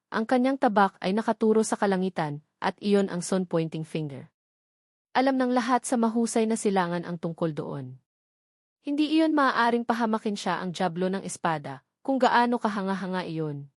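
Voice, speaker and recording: Female audiobook narrator, measured scripted storytelling, medium pitch, very little room sound. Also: a little mellow, dry recording, studio quality.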